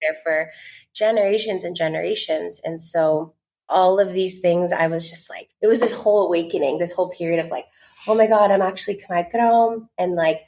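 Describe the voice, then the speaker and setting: Chatty young woman, lowish voice. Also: moderate pace, darkish tone. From United States. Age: 20s. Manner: chatty, many "like" fillers, earnest. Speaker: podcast guest, content creator. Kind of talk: casual interview talk.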